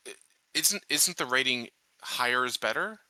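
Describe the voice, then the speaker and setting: A man's voice: medium pitch, lively intonation, moderate pace, pushed and bright, dry recording, rough recording. A man chatting casually as a tabletop game player, hesitant and questioning. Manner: hesitant, questioning, restarts to think.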